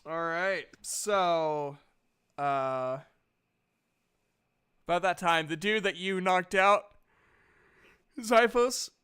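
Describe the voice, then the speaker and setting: A man's voice: high voice, extremely slow, long pauses, bright, forceful tone, smooth. A man narrating casually as game master of a tabletop game.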